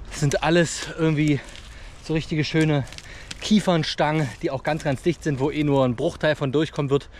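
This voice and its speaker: A man in his thirties from Germany, talking casually and colloquially to his viewers in an outdoor how-to vlog. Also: medium pitch. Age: 30s. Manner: colloquial, chummy address to viewers. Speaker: outdoor vlogger and host. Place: outdoors in a forest. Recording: clear background noise, outdoor ambience.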